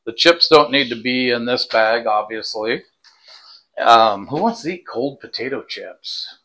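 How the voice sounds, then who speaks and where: A man's voice: medium pitch, animated, unhurried, bright, forceful tone. A man in his sixties chatting casually as he gives practical tips on a vlog, recorded indoors on a clip-on mic. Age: 60s. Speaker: vlog co-host. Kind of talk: casual chat giving packing tips. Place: indoors in a small home kitchen and bedroom. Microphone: clip-on lapel mic.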